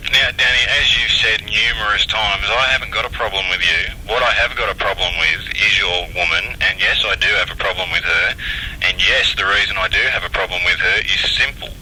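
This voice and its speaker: Blunt, sharp-voiced Australian man on CB radio. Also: on the low side, fairly quick. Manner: blunt, scolding. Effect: two-way radio transmission. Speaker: CB radio operator. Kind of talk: argumentative radio exchange. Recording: faintly noisy UHF CB radio.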